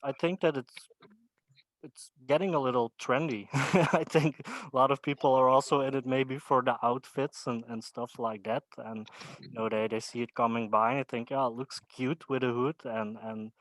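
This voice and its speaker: A man's voice: mid-range voice, steady tone, moderate pace, no echo, rough recording. A man talking as a guest on a casual video call, repeating words and leaning on "you know". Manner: repetitive, many "you know" fillers.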